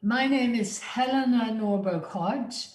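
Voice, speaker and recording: Woman from sweden giving a slow earnest talk, kinda high voice, echoey conference hall. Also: a little mellow. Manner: earnest, deliberate pleading. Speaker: conference speaker.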